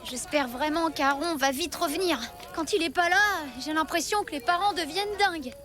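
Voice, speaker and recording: A woman's voice: high-pitched, moderate pace. A woman voicing a curious, good-natured young child in a French cartoon, with a friendly, inquisitive manner. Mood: worried. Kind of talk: French animated series dub acting. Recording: loud music behind the voice.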